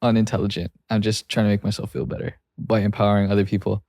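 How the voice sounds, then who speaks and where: A young man co-hosting a podcast in a lowish voice, rambling along with lots of energy and laughing easily. He is recorded close up, dry and clear. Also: no echo. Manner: animated, rambling, quick to laugh. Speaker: podcast producer and co-host. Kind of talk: casual conversation.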